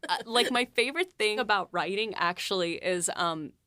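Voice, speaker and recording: A woman's voice: mid-range voice, moderate pace, smooth, dry recording. A woman writer on a podcast, talking thoughtfully and rambling a little about her writing. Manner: thoughtful, rambling, soft-spoken. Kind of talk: casual behind-the-scenes chat.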